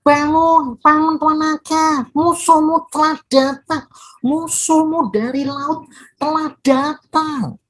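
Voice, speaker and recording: A woman voicing a sly, flattering little fish in a children's folktale, calling out in a dramatic, deferential way. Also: high voice, slow pace, voice pushed up, poor audio. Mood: urgent shouting. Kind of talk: children's storytelling character voice.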